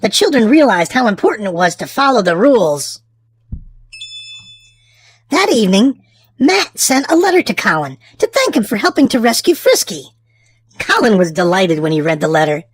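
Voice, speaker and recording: A woman hosting and narrating a children's storybook aloud, with theatrical, drawn-out emphasis for small children. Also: medium pitch, big pitch swings, husky. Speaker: children's storybook reader and channel host. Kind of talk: expressive read-aloud narration for young children.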